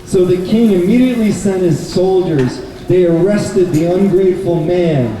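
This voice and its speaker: A man telling a children's story live to an audience, in simple, deliberate phrases. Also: high voice, mellow. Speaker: puppet show storyteller and presenter. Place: indoor bookstore event. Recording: very echoey, noisy hall, distant microphone.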